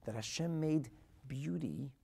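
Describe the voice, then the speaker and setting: A man with a North American accent giving a religious sermon as a rabbi, emphatic and dramatic, phrasing deliberately and repeating for effect. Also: mid-range voice, creaky, breathy edge. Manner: emphatic and dramatic, swinging from hushed asides to loud declarations; deliberate phrasing with rhetorical repetition. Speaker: rabbi and Torah teacher.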